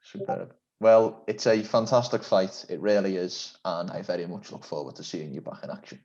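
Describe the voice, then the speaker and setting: A man's voice: low voice, normal speed, warm tone, muffled recording. A man hosting a video-call interview, asking questions in a polite, formal and complimentary way. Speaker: interview host.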